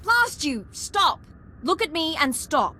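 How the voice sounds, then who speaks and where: A woman playing an earnest young female military ensign in a video game, speaking with crisp, dutiful formality. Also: sits high, very animated, slow pace, sharp tone, heavily acted, poor audio. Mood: urgent, commanding. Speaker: game character voice actor. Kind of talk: video game dialogue acting.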